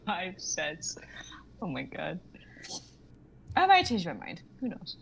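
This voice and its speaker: A woman's voice: lowish voice, wildly swooping pitch, takes it slowly, creaky, slight background noise. A young woman chatting casually and teasingly as a co-host of a group stream. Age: young adult. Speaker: co-host of an online readers' stream.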